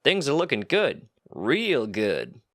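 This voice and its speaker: A man's voice: mid-range voice, wildly swooping pitch, slow pace, a bit bright, voice pushed up, heavily acted. A man voicing an eager minotaur mine worker, a loyal right-hand man with casual workman's talk, in a fantasy story. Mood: pleased, confident.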